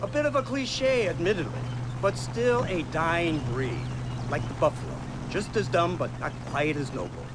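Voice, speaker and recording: An actor playing a smug, condescending government agent in a video game, with a pompous, sardonic and eloquent manner. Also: male voice, high-pitched, expressive, normal speed. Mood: smug, musing. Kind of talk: video game cutscene acting. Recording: loud car engine noise behind.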